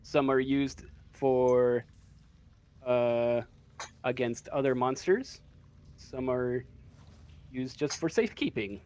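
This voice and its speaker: Male game master, unhurried and hesitant, mid-pitched, improvising tabletop narration. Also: a little mellow, clean tone. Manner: hesitant, halting.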